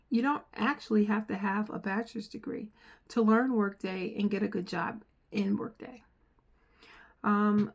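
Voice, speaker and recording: A middle-aged woman from the United States, with an accent that mixes New Jersey, New York and North Carolina, talking earnestly to camera as a software trainer and repeating phrases for emphasis. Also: medium pitch. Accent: mixed New Jersey, New York and North Carolina. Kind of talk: earnest direct-to-camera talk.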